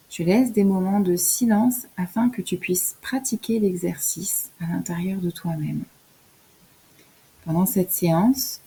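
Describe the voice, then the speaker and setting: A woman leading a scripted guided relaxation in a soft, soothing, instructional way, as a yoga nidra guide. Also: on the low side, husky, low-quality recording.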